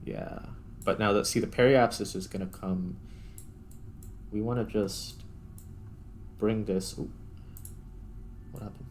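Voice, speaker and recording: A man's voice: lowish voice, steady tone, slow pace, long pauses, a little hiss or hum. A man giving casual gameplay commentary, thinking aloud in a tentative, self-questioning way as he narrates each step. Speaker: gaming video host.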